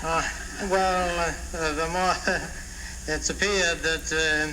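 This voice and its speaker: Middle-aged male contestant, halting and shy, extremely slow, on a very noisy vintage broadcast. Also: fairly high pitch, flat delivery. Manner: hesitant, bashful, stammering pauses. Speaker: quiz show contestant. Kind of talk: unscripted radio interview. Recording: very noisy old radio broadcast recording.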